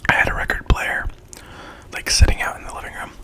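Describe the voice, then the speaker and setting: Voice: quick pace, bright, forceful tone. A speaker whispering a slangy, chatty storytime in ASMR style while eating, acting out quoted lines along the way.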